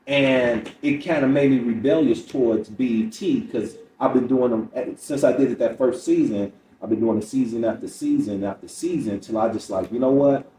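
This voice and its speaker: Roomy, mellow middle-aged man telling stories. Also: medium pitch, moderate pace, hardly any pauses. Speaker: comedian guest. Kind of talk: candid podcast storytelling. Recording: roomy echo, distant mic, faint noise.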